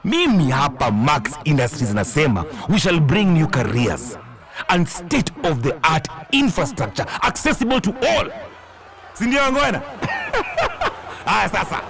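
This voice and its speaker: A boastful Kenyan oil boss from a motion comic, pitched high for a man with a creaky edge. He orates like a showy rally speaker, full of pomp, over clear music. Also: wildly swooping pitch, measured pace, exaggerated voice. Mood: triumphant. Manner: pompous, showy, chuckling between phrases. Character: boastful smooth-talking male oil company boss rallying a crowd.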